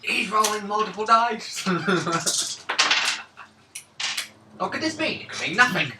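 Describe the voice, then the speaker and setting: A man's voice: high-pitched, lively intonation. A man playing a tabletop game, chatting and joking playfully with his friends. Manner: playful, quick to laugh. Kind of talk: casual, joking chat. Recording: echoey room, distant mic, clear noise.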